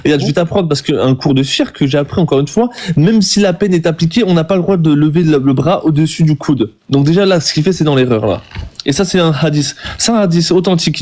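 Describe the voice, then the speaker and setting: A man's voice: a little high, a little mellow. A man with a cold arguing insistently in a live debate, lecturing his opponent. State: has a cold. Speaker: debate guest.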